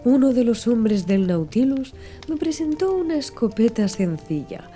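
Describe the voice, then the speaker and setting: A woman's voice: medium pitch, dark tone. A woman reading a scripted audiobook narration.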